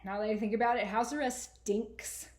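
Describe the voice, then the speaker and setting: A woman's voice: fairly high pitch, steady tone, normal speed, a little mellow, natural voice. Woman reading as an exasperated, earnest boy narrator. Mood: candid. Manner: colloquial, exasperated, emphatic. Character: sarcastic, earnest boy narrator with a baby brother. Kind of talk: expressive first-person storybook reading.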